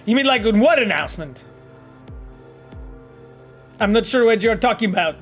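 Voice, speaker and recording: A man's voice: high-pitched, normal speed, faint music behind. Chatty Polish-accented man streaming. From Poland. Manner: animated and rambling, with frequent fillers, repeats and laughs. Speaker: game developer streaming and reading chat. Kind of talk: casual live-stream chat.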